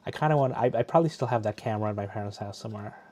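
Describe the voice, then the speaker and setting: A man's voice: on the low side, racing, warm tone. A middle-aged man from Bangladesh hosting a casual live stream, chatty and loose, often restarting his sentences. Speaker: livestream host. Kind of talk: casual chat.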